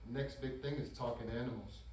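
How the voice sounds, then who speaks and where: Someone doing a deadpan cartoon lab sidekick, fawning, super echoey with clear noise. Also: mid-range voice, a little fast, close to an everyday voice. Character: deadpan, fawning sidekick scientist Doctor Rodriguez. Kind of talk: comedy animation table read. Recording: echoey distant room, noisy background.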